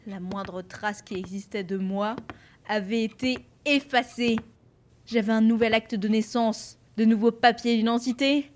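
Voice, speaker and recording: A woman's voice: a little high, steady tone, measured pace, lightly acted. A woman playing a bitter, sarcastic woman in her twenties, blunt and sardonic, in a French audio drama. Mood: bitter, angry. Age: 20s.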